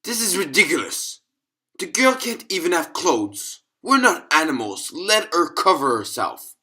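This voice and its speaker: A man's voice: a little high, sing-song, measured pace, sharp tone, heavily acted. A man playing an indignant staff doctor in an audio log story, protesting emphatically.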